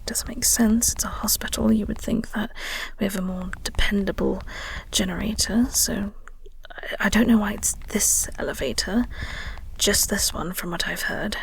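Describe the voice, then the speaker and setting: A woman playing a flirty, caring coworker in an intimate audio roleplay, speaking softly and gently in a breathy, reassuring way. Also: medium pitch, normal speed, close to an everyday voice, poor audio. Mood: concerned. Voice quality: soft, breathy, whispery.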